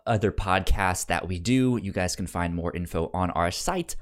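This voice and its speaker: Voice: low-pitched, moderate pace. A podcast co-host chatting casually about a film, stammering and often repeating syllables and words.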